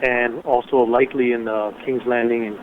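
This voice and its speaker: Even-toned male official on a phone line. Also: medium pitch, slight background noise. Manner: measured, deliberate, explanatory. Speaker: emergency management official. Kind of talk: press briefing on a conference call. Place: conference call. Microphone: telephone. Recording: muffled narrow-band conference phone line.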